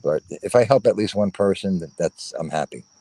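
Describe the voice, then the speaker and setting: A man joining a livestream chat as a guest over his phone, earnest and prone to repeating his points with halting restarts. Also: deep voice, lively intonation, warm tone. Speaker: guest, fellow show host.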